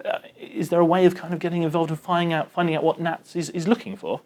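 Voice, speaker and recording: A man's voice: a little high, level tone, quick pace, darkish tone. A man in his thirties interviews casually and informally, thinking aloud as he goes. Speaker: interviewer, radio presenter. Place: large airport control tower room, indoors.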